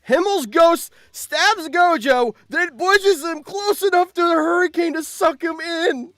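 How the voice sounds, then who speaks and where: A man live-streaming as host, reading out game results with animated, excitable commentary and surprised exclamations. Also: very high voice, measured pace, dry recording, studio quality, soft background music.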